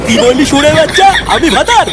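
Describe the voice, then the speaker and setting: A crude, quick-tempered cartoon husband in a very high voice. He scolds and swears at a furious pace, over loud background noise. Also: animated, a loud crowd. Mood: outraged. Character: angry, foul-mouthed village husband, quick to rage. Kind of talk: comic cartoon voice acting in Bengali.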